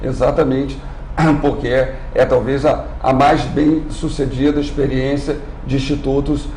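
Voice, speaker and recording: A man speaks in a formal, measured way as an institute executive on live TV. His voice is gravelly, and he often clears his throat. The sound is roomy, with faint background noise. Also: medium pitch, fairly even intonation, darkish tone. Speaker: industry training institute executive. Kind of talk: live TV interview.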